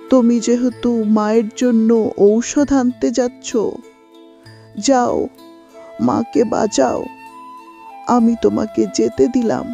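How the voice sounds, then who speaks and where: Woman acting vengeful witch spirit, very slow drawn-out speech, menacing, horror cartoon, music behind. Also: fairly high pitch, vocal fry, mellow, dull audio. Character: vengeful bloodthirsty female river witch ghost with a softening heart.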